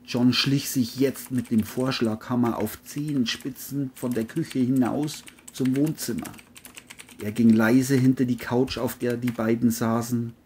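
A narrator reading a scripted crime audio drama in a mellow, husky voice. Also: mid-range voice, steady tone, unhurried, a little hiss or hum.

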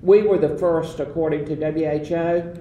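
A man's voice: on the high side, steady tone, moderate pace, dark tone. Older Southern man, roomy distant sound. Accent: Southern American. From Southern United States. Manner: rambling, hesitant with many fillers. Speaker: guest, former association president. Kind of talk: reminiscing interview talk. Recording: roomy, distant mic, faint noise.

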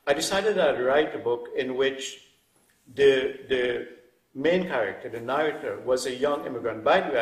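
Musing man in his fifties. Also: mid-range voice. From Trinidad. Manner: thoughtful, hedging with many "sort of" restarts. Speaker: guest writer. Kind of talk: reflective interview talk. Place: wood-panelled indoor room. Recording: roomy sound from a distant mic.

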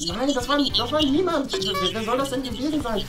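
A man's voice: very high voice, animated, fairly quick. A man playing a flustered family father and cafe boss in an improvised comedy sketch, hesitant, stammering and rambling. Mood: defensive, panicky. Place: small bright office room. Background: background music.

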